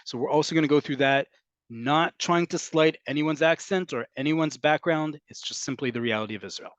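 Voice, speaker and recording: A man's voice: fairly high pitch, brisk, a bit bright, no echo, muffled recording. A man from North America teaching an online class over a video call, explaining at length in a conversational way with frequent ums. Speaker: Hebrew teacher.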